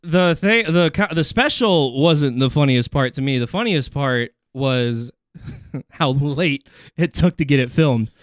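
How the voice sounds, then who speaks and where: A man's voice: on the high side, animated, a little slow, no echo, clean studio sound. A man hosting a comedy podcast, chatting casually in a slangy, profane, joking way.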